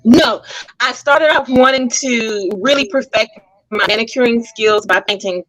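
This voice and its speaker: A woman's voice: a little high, a little slow, a bit bright, no echo, low-quality recording. Droll, theatrical woman giving interview answers. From Texas, United States. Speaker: guest stage actress.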